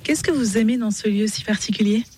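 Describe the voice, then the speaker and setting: A radio interviewer asking clear, prepared questions outdoors at a building site. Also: medium pitch, fairly quick, background noise.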